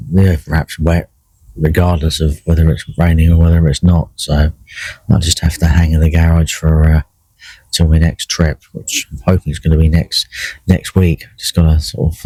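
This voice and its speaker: A middle-aged man with a croaky voice, chatting casually by himself while out fishing, with lots of fillers and restarts. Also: a bass voice, normal speed, no room sound, poor audio. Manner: relaxed, rambling, many fillers and restarts. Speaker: carp angler vlogging a session. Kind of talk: casual solo vlog commentary. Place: in a fishing bivvy by a lake.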